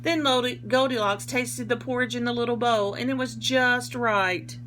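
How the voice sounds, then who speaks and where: Higher-voiced woman reading aloud to children. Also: steady tone, moderate pace, fairly bright tone, a little hiss or hum. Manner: explains words simply and animatedly to children. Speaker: teacher reading a picture book aloud. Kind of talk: expressive read-aloud for young children with explanations.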